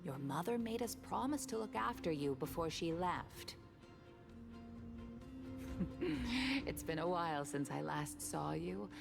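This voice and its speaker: An actress voicing a kindly older woman, an old friend of the family, in a game, speaking gently. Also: low voice, unhurried, gravelly, long pauses. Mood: tender. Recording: game audio with loud music.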